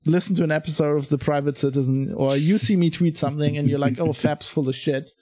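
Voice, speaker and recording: A man with a German accent hosting a podcast in casual conversation, blunt and quick to laugh, swearing now and then. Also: fairly high pitch, fairly even intonation, mellow. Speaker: podcast host.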